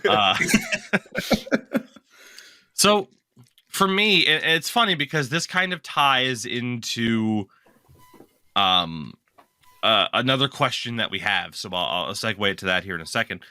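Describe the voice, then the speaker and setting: A man's voice: medium pitch, slow pace, pauses often, bright, forceful tone, clear, resonant tone, no room sound, clean studio sound. A young man co-hosting a podcast, chatting casually, rambling and correcting himself as he goes, swearing now and then. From lives in Maine. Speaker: podcast co-host. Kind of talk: casual chat.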